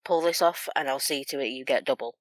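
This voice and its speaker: A man playing a level-headed, professional intelligence agent in a sci-fi audio drama, speaking in a brisk, businesslike manner. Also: medium pitch, no room sound, lightly acted.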